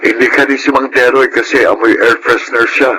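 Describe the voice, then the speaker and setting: A man playing a gentle, curious older brother in a Filipino radio drama, conversational and questioning. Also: mid-range voice, steady tone, a little fast, natural voice, low-quality recording. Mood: curious, friendly. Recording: clear background noise under voice.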